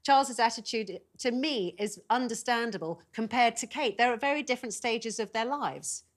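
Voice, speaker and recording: A woman's voice: on the high side, normal speed. A British woman hosting a news programme, talking in a chatty, discursive, conversational broadcast style.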